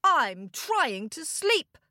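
Woman playing a blustering, high-pitched grumpy king. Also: big pitch swings, drawn-out words, bright, forceful tone, no room sound, clean studio sound, full character voice. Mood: exasperated. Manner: blustering, indignant, commanding. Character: pompous, grumpy, sleep-deprived male storybook king. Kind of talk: theatrical children's storytelling.

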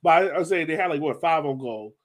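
A man's voice: on the high side, lively intonation, fairly quick. A man hosting a sports podcast, chatting casually about a soccer match in informal, slangy speech.

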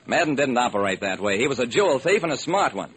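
An actor playing a wry, sharp-witted detective who narrates and acts in an old-time radio drama. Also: male voice, mid-range voice, very animated, fast, husky, full character voice. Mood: inquisitive. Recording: noisy vintage radio recording.

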